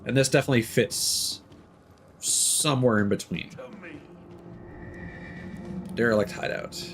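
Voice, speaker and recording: A man giving casual live commentary on a video game, musing and thinking aloud in a halting way. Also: mid-range voice. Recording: clearly noisy, game sound underneath. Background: video game ambience.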